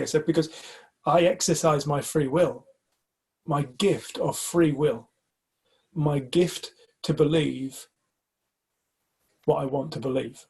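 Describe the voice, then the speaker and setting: A man's voice: fairly high pitch, gravelly, a little mellow, husky. A man from the UK in a casual group discussion, talking reflectively and hesitantly, often restarting his sentences. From United Kingdom. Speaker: community group member.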